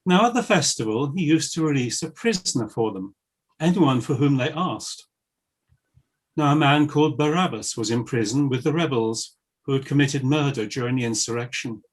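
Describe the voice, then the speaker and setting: A man narrating scripture in a solemn, measured and formal reading style. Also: mid-range voice.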